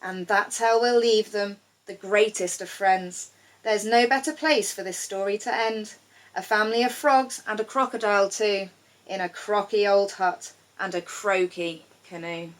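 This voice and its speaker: A woman storyteller giving an expressive reading of a rhyming children's storybook. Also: mid-range voice, measured pace, poor audio.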